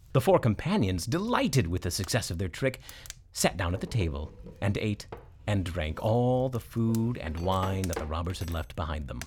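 A man narrating a scripted storybook tale as storyteller, in an expressive, measured way. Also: lowish voice, noisy background.

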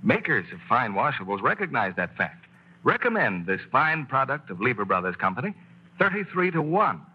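Male radio announcer, husky and animated, classic commercial read, lo-fi vintage sound. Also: normal speed. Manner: upbeat salesman's pitch. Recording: dull 1940s radio broadcast.

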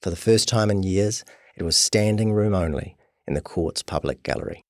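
A man's voice: deep voice, normal speed, no room sound, studio quality. A man narrating a scripted documentary podcast.

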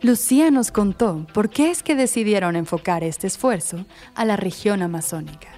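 A woman's voice: medium pitch, lively intonation, normal speed, mellow, soft background music. A woman narrator reading a scripted, documentary-style narration.

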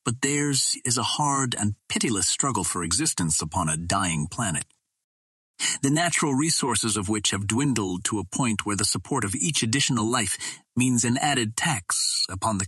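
A man reading a first-person story as a literary narrator, in a measured and formal storytelling manner. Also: fairly low pitch, fairly bright tone, breathy edge, no room sound. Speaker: audiobook narrator.

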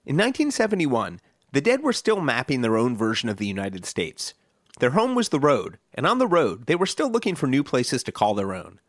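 A man's voice: medium pitch, brisk, dry recording, studio quality. A man hosting a podcast, reading scripted narration with a storytelling delivery that builds through lists. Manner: lively storytelling delivery with list-like build-ups.

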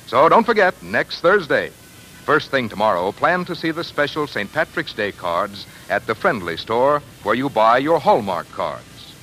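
A man's voice: mid-range voice, sing-song, unhurried, pushed and bright, faint music behind, poor audio. An American man announcing and reading sponsor copy in 1940s radio style on a vintage broadcast.

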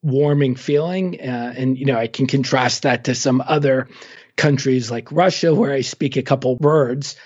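A man speaks at a middle pitch in a relaxed, informative way, pausing on fillers as he explains. The recording is slightly dull and muffled, like remote audio. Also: husky. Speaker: travel guest expert. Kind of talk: casual travel advice conversation.

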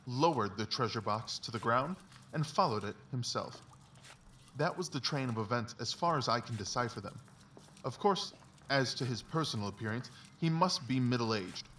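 A man playing a brilliant, confident, philosophical detective in a dramatized audiobook, explaining things in a measured, self-assured way. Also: medium pitch, a bit bright, recorded from a distance, slight background noise, close to an everyday voice.

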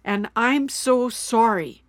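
A woman's voice: fairly high pitch, animated, slow, no echo. A middle-aged woman playing an anxious, apologetic mother who rambles and frets in a naturalistic audio drama. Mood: apologetic, rambling. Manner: rambling, fretful, self-blaming.

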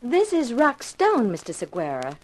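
A young femme fatale in a 1950s radio mystery. She is coy, poised and self-assured, with a fairly high voice that rises and falls expressively. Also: huge pitch swings, normal speed. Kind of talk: 1950s radio drama acting.

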